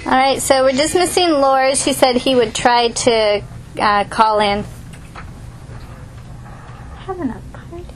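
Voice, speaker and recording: Woman meeting organizer, high voice, brisk and teasing; office speakerphone with steady noise. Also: low-quality recording. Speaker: meeting organizer on a conference call. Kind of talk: casual office chat and call roll.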